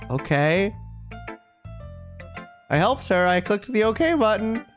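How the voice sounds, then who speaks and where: A game streamer talks casually over music, reading text aloud. The pitch moves a lot, and the delivery is expressive and halting.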